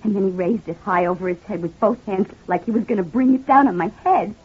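An actress playing a distraught, halting wife in an old radio crime drama. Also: female voice, medium pitch, husky, close to an everyday voice. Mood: shaken. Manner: hesitant, emotional, halting. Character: distraught wife claiming she shot her abusive husband. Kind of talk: 1940s-50s radio crime drama acting. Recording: muffled, thin old-time radio recording.

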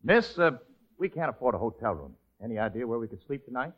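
An American actor playing an eager, gallant, penniless young romantic in an old radio musical comedy, speaking in an earnest, theatrical way. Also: male voice, mid-range voice, sing-song, fast, dull audio. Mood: curious, hopeful. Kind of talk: 1940s-50s radio musical comedy acting.

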